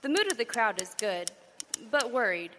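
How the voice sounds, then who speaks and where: Woman news reporter, brisk, crisp newsreader delivery, higher voice, reverberant stage, audible noise. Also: very animated. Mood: businesslike. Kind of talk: old-time radio drama. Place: stage before a live audience.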